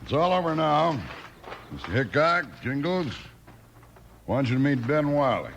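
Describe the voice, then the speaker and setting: An actor playing a weary, conciliatory cattle rancher who speaks politely in a 1950s radio western. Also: male voice, a little high, sing-song, moderate pace, creaky, fairly bright tone, highs cut off, heavily acted. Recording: noisy old 1950s radio recording.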